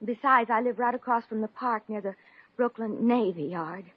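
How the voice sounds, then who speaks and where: An actress playing a mousy, bashful, earnest young woman with a halting, coy delivery in a 1950s radio comedy. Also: on the high side, no room sound, close to an everyday voice. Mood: coy, secretive. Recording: dull old-time radio recording.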